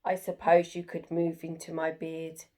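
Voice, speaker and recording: A woman's voice: low-pitched, completely flat delivery, a little slow, mellow, pitched below the performer's own voice. A woman voicing a bearded male lumberjack in a children's story, plain-spoken and emphatic. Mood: pondering. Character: male lumberjack with beard, accommodating until exasperated.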